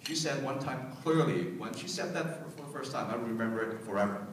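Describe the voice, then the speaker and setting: A man's voice: mid-range voice, fairly quick, slight background noise. A man from Hong Kong whose native language is Cantonese gives an emphatic keynote speech to parents and students, repeating his key points and asking the audience to respond. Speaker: keynote speaker. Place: event hall. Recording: very echoey hall, mic at a distance.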